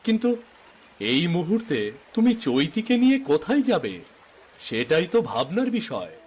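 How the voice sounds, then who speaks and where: Kindly, higher-pitched village neighbour played by a man. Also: huge pitch swings, moderate pace, darkish tone, breathy edge, exaggerated voice. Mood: sympathetic. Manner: concerned, sympathetic. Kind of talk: Bengali fairy-tale cartoon dialogue.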